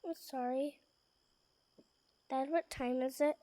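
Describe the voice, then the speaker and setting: An actor playing a timid, polite young boy in a radio drama, speaking hesitantly and apologetically in a soft, small voice. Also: sits high, steady tone, slow pace, no echo. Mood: timid, uneasy.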